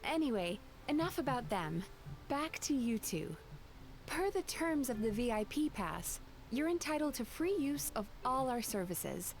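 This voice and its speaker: Poised, high-voiced spirit innkeeper. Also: female voice, sing-song, unhurried, a little hiss or hum. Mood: gracious. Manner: polite, poised. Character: composed, gracious young woman dream-eater spirit innkeeper.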